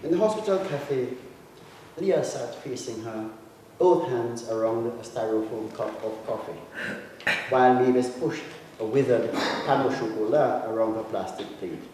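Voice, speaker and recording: Man, very reverberant hall, mellow tone, measured dramatic story reading, author at the reading, deliberate pauses. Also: medium pitch. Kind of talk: literary reading of prose fiction. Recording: echoey hall, distant mic, faint noise.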